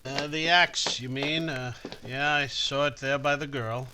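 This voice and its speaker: A man's voice: mid-range voice, fairly even intonation, a little slow, sharp tone, slight background noise. An actor playing a hesitant, folksy small-town police chief who stammers through his questions in old-time radio style. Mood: hesitant, probing.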